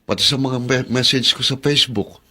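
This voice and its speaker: A man's voice: mid-range voice, steady tone, moderate pace, pushed and bright. A man playing an earnest, exasperated protective brother in a Filipino radio drama. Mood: worried. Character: worried, protective brother defending his sister, exasperated.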